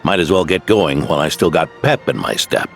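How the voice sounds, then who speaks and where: Gravelly old veteran knight. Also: male voice, rumbling low, a little fast, faint music behind. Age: older. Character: aging veteran male knight near retirement, stubborn. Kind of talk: game cutscene voice acting.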